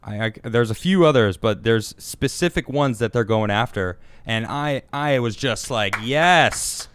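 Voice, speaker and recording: An American man hosting a casual political talk show, getting animated and indignant on the news, often restarting his sentences. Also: medium pitch, a little slow, smooth. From United States. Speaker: host.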